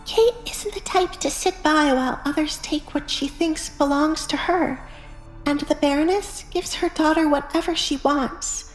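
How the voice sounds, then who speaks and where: Woman acting a timid young maid, high voice, meek and earnest, audiobook drama, echoey with music behind. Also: measured pace. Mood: confiding.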